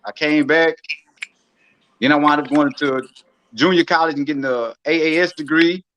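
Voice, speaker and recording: A man's voice: a little high, steady tone, moderate pace, a bit bright, slight background noise. A man on a casual podcast, easygoing as he talks through his own path to work and sprinkles in "man" and "I mean.". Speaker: podcast co-host. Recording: dull lo-fi sound.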